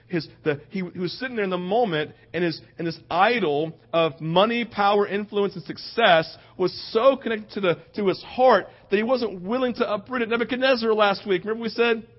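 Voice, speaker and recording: A male preacher with a notably high voice delivers a conversational sermon. He is earnest and informal, leaning on rhetorical questions and slipping into imagined dialogue. The recording sounds dull and slightly muffled.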